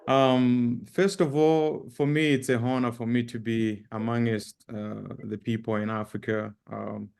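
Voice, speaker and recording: A man with a Zambian accent giving an informal presentation as a guest speaker, speaking haltingly with repeated words and frequent pauses. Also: mid-range voice, flat delivery, creaky, darkish tone, clean tone. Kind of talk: informal presentation with personal introduction.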